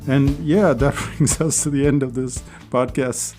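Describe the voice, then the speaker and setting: A man hosting a casual solo podcast, chatty and persuasive, with his voice hoarse and going. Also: mid-range voice, steady tone, a little slow, dark tone, faint music behind. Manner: chatty, persuasive, with small laughs. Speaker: podcast host.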